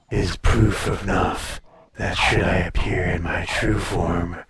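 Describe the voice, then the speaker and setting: Ancient dragon played by a man for audio roleplay: low, level delivery, haughty and teasing, condescending to mortals, lingering on phrases. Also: noisy background. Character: ancient, proud male dragon in humanlike form, condescending, teasing. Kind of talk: audio roleplay acting, intimate monologue addressed to listener.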